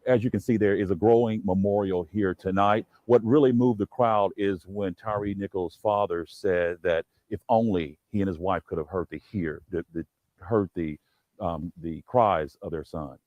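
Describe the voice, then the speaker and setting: Deep guy reporting live for tv news, a bit halting, dry sound. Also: fairly even intonation, a little mellow, no echo, highs cut off. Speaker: television reporter on a live shot.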